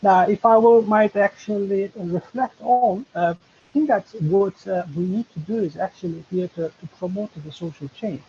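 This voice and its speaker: A man speaks as a professor on a webinar panel. His voice is gravelly and mellow, and his talk is halting and full of fillers and restarts. The video call audio is dull, with a faint hiss behind it. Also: high voice. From Turkey.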